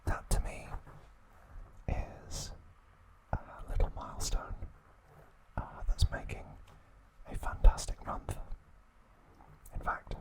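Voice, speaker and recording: A man hosting ASMR, whispering in hushed, drawn-out short phrases with frequent pauses. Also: low-quality recording. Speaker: host of an ASMR channel. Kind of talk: ASMR, whispered trivia talk and reading aloud.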